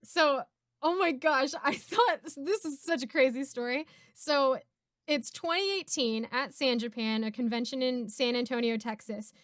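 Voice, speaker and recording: A woman in her twenties telling stories in a casual, giggly, expressive way as a streamer. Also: high-pitched, unhurried, dry recording, studio quality. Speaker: streamer and narrator hosting a Q&A. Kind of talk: casual chat, storytelling.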